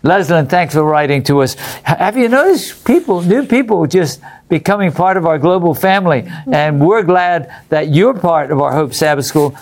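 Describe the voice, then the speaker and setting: A man's voice: fairly high pitch, animated, moderate pace. A man hosting a religious study program, reading out viewer letters and putting questions to his group and viewers.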